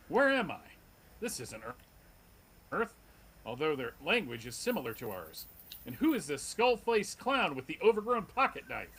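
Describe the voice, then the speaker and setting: A man voicing a mighty, cocky superhero, melodramatic and husky, in a comic book table read. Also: high-pitched, pushed and bright, exaggerated voice, rough recording. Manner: exclamatory, melodramatic. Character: mighty male superhero, exclamatory, indignant, cocky.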